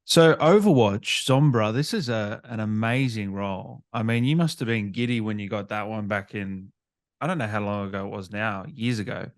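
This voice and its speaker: A man's voice: on the low side, moderate pace. Casual man hosting an interview. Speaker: interviewer, gaming channel host.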